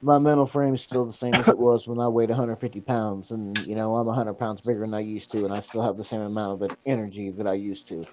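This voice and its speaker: A man's voice: fairly low pitch, flat delivery, fast, hardly any pauses, highs cut off, noisy background. A man in his thirties chatting casually, rambling with lots of fillers and repeating himself. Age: 30s. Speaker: support group member. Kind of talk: casual chat.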